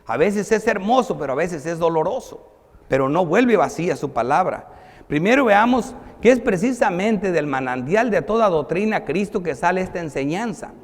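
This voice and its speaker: A man preaching a sermon as a pastor, reading and explaining scripture with emphatic delivery that moves between declaiming and quieter asides. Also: high voice, expressive, moderate pace. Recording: long hall reverb.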